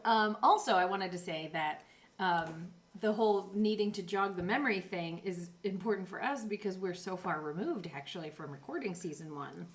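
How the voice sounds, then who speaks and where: A woman's voice: medium pitch, fairly bright tone. A woman chatting casually as a podcast co-host, indoors with her co-hosts. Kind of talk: casual chat. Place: indoors, in one room with the co-hosts.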